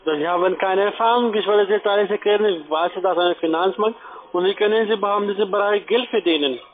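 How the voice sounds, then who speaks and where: A man with a foreign accent giving a sales pitch as a telephone agent. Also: quick pace. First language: not German. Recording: tinny phone line.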